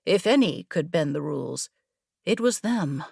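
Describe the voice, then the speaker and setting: A woman narrating a scripted fantasy story in a measured, deliberate manner. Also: sits low, expressive, creaky, darkish tone, breathy edge, no room sound, studio quality. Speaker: audiobook narrator.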